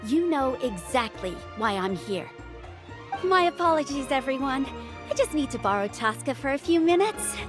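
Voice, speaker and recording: A woman's voice: high-pitched, very animated, moderate pace, music in the background. A woman voicing a pushy, exasperated younger sister in a video game. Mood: insistent, annoyed.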